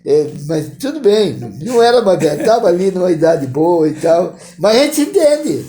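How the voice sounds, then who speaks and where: An older man with a Brazilian accent hosting a casual talk show, animated, repeating words and trailing off as he remembers. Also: high-pitched, moderate pace. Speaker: host. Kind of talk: casual reminiscing chat. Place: TV studio.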